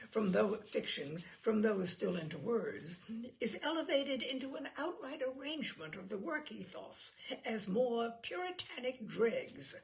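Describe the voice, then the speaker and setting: A pompous male intellectual from a comic short story, acted out by a woman narrator. He pontificates in ornate, lecturing sentences, pleased with himself, with animated swings of pitch and some gravel in the voice. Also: heavily acted.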